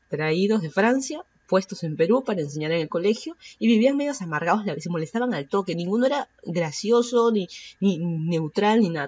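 A woman's voice: lowish voice, darkish tone, dull audio. A Peruvian woman in her thirties with a Peruvian accent, hosting a casual solo podcast and rambling with many fillers and self-corrections. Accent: Peruvian Spanish. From Peru. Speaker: podcast host.

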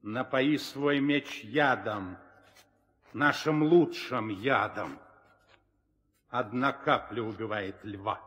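A man's voice: medium pitch, slow pace, long pauses, fairly bright tone. An actor playing a cruel, commanding demon king in an old radio play, imperious and theatrical. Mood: cold, menacing. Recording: long reverb, old studio drama recording.

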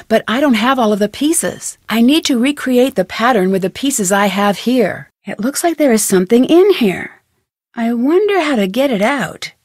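A woman playing a curious, determined young detective in a video game, thinking aloud in crisp speech with an American accent. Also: fairly high pitch, dry recording, studio quality.

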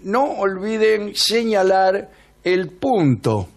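An Argentine man with a Rioplatense accent and a slightly high voice. He hosts comic radio banter, delivering advice in a deadpan, mock-serious way and repeating himself. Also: drawn-out words. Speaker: radio comedy show host.

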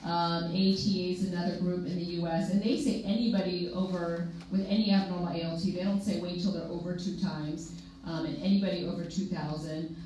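A woman's voice: lowish voice, steady tone, warm tone, recorded from a distance. A young woman moderating a conference panel as a clinician, chatty and explanatory. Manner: chatty, explanatory, quick asides. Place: conference room with projector. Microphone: podium mic, filmed from far in the room. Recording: echoey room from afar, faint hiss.

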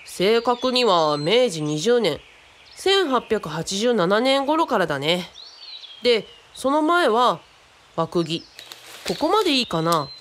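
A woman's voice: fairly high pitch, big pitch swings, slow, fairly bright tone, slight background noise, exaggerated voice. A woman playing a friendly, boyish youngster who explains things casually and patiently in a radio drama. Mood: explaining.

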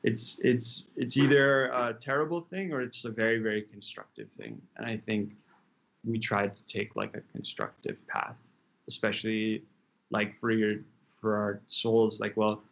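A man's voice: fairly low pitch, fairly even intonation, measured pace, vocal fry, a bit bright, poor audio. A young man, a chef, answering interview questions casually over a video call from a room at home, rambling with many fillers and restarts. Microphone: laptop webcam on video call.

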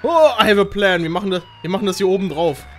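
An excitable gamer chatting casually while playing, given to shouting and squealing as co-host of a gaming video. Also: mid-range voice, fast talker, a little hiss or hum.

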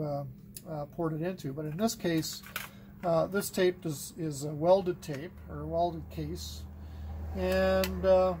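A middle-aged man giving a casual, unscripted workbench tutorial, thinking aloud haltingly as he works with his hands. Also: fairly high pitch, dark tone, slight background noise. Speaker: hobbyist demonstrating cassette repair. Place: indoors at a wooden workbench.

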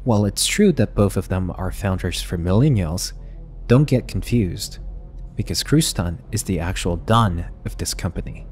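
A man narrates an investigative commentary in a low, dark-toned voice. His delivery is scripted, and faint music plays behind him. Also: lively intonation, normal speed. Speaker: video essay narrator.

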